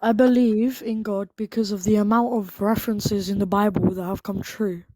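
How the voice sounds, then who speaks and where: A woman's voice: mid-range voice, fairly even intonation, moderate pace, mellow, dry recording, low-quality recording. An eighteen-year-old woman with a childlike voice, calling into a debate and searching for her words. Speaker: caller.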